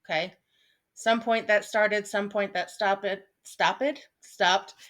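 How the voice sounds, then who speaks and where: Slow-talking woman teacher in her thirties. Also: medium pitch, fairly even intonation, a bit bright. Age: 30s. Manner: slow, chatty explaining with frequent ums and asides. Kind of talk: recorded online lesson. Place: at home, seated at a desk.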